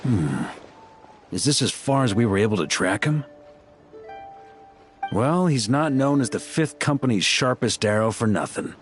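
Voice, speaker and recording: An actor playing a sly, confident knight captain in a video game, talking in a wry, teasing way. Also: male voice, mid-range voice, expressive, measured pace, pauses often, soft background music, lightly acted. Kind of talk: game voice acting.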